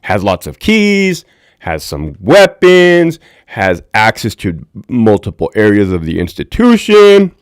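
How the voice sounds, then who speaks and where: A former prison officer with a deep voice hosting a casual livestream, talking candidly and profanely to his viewers. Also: wildly swooping pitch, slow, smooth, no echo, clean studio sound. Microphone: desk mic.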